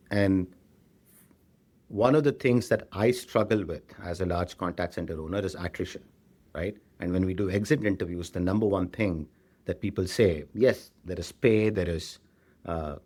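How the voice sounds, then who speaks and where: A man's voice: low voice, a little mellow. A man with an Indian accent answering interview questions as a company chief, explaining conversationally and often checking in with a short tag question. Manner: frequently tags sentences with "right?". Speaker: tech company CEO being interviewed.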